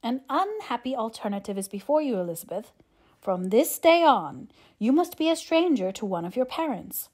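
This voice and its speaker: Woman playing older audiobook father, calm, dry irony, deadpan, measured delivery. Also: a little high. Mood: dryly grave. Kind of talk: audiobook character acting.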